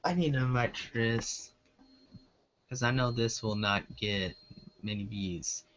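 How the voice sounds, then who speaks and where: A man doing an amateur reading of a story aloud, a little halting as he goes. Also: mid-range voice, a bit bright, a little hiss or hum. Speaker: amateur fan fiction reader. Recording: dull lo-fi home recording.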